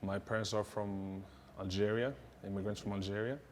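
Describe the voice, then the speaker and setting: A low-pitched man answers questions casually. He speaks in a relaxed way, full of fillers and quick repeated yeahs. He sounds like a martial arts instructor chatting about his life. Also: slight background noise. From Sweden.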